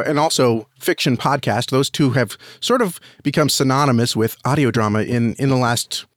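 A man's voice: mid-range voice, no room sound, clean studio sound. A man being interviewed on a podcast, explaining at length in a conversational way and often repeating words as he finds his phrasing. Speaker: podcast guest, audio drama producer and reviewer.

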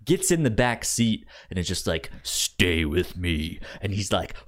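A man with a slightly low voice chats casually as a podcast co-host about a film. He speaks slowly and haltingly, often catching on a syllable and repeating it before he goes on. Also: very animated.

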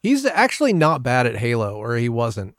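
A man's voice: mid-range voice, huge pitch swings, moderate pace, dry recording, clean studio sound. A man talking casually and informally as a guest on a gaming podcast.

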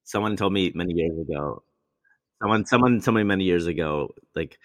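A man's voice: low-pitched, a little fast, fairly bright tone, no echo, studio quality. A male actor telling long, rambling stories in casual chat, often restarting and quoting himself. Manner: rambling, many restarts and quoted asides.

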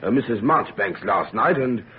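An actor playing a genteel, discreet middle-aged husband, tactful and proper, in an old-time radio drama. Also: male voice, medium pitch, lively intonation, normal speed, natural voice. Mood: hesitant, guarded. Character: genteel, discreet, fatherly husband of older generation. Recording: noisy, narrow-band vintage radio recording.

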